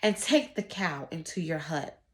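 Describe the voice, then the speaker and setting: A woman voicing a calm, commanding male rabbi in a storybook, giving firm and deliberate instructions. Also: deep voice, voice pushed down. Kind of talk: storybook read-aloud.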